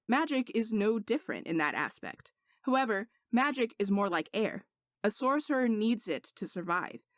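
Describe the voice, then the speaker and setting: A woman's voice: a little high, sing-song, moderate pace, no echo, studio quality. Woman reading as an arrogant, earnest male sorcerer knight. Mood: earnest, explaining. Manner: formal, lecturing.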